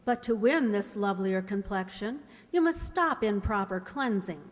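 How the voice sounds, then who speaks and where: A woman's voice: mid-range voice, moderate pace, lightly acted. A woman playing an instructive announcer reading a scripted 1940s-style radio commercial. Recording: hall-like, long reverb.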